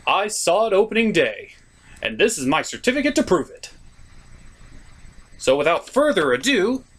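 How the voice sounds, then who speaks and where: A man's voice: sits high, sing-song, moderate pace, a bit bright, slightly rough. A man delivering a scripted review as host, in a theatrical, emphatic way. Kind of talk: scripted review commentary with puppet-show skits.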